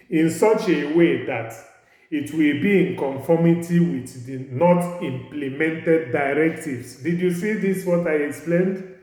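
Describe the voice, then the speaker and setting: A man with a Nigerian English accent giving a university law lecture, halting and repeating words as he explains. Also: fairly high pitch, darkish tone. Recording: very echoey room.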